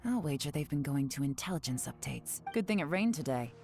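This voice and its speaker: A woman's voice: low-pitched, expressive, brisk, darkish tone, soft background music. An actress playing a cool, shrewd and confident woman investigator in a video game, composed and knowing, with dry wit. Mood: wry, suspicious. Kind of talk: video game character acting.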